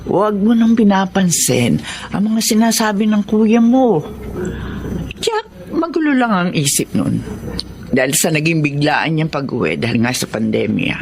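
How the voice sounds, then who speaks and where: A man playing a gentle, conciliatory confidant in a Tagalog radio drama. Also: high voice, big pitch swings, unhurried, vocal fry, a little hiss or hum, heavily acted. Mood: soothing.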